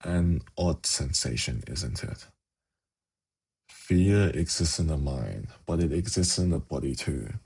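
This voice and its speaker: Seductive demon prince, male actor, fantasy ASMR roleplay. Rumbling bass with heavy fry, slow, sly, intimate coaxing. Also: lively intonation, a little mellow, exaggerated voice. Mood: menacing teasing. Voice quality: gravelly, husky. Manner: slow, intimate, teasing, coaxing with formal wording.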